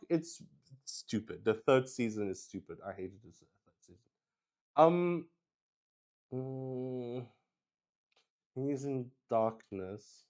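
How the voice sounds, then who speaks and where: A man's voice: medium pitch, a little mellow. A man hosting a solo tabletop roleplay stream, improvising the narration as he thinks aloud and repeats phrases. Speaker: game master and solo player, show host.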